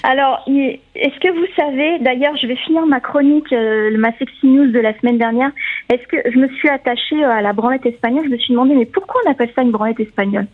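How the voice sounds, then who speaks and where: A woman's voice: high-pitched, fast talker. Chatty woman on a tinny phone line. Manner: chatty, laughs often, frequent "euh" and "voilà". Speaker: sex columnist. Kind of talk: radio talk show segment. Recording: narrow phone-line sound.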